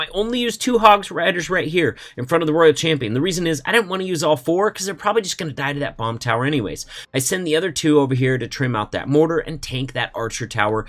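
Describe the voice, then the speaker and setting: A man with a head cold and a sniffly voice, giving relaxed, chatty commentary over his own game attacks. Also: mid-range voice. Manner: relaxed, chatty, says "man" and "all right?" often. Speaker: gaming YouTuber. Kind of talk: casual gameplay commentary.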